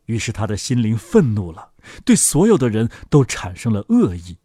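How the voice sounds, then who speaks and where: A man narrates a fairy tale expressively from a script. His mid-pitched voice is husky and very animated in intonation, with a dry, close sound. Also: moderate pace, no echo.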